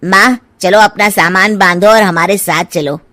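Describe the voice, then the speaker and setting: A woman's voice: mid-range voice, fairly even intonation, unhurried, bright, forceful tone, no echo. A woman voicing an insistent, self-centred grown son in a cartoon moral story.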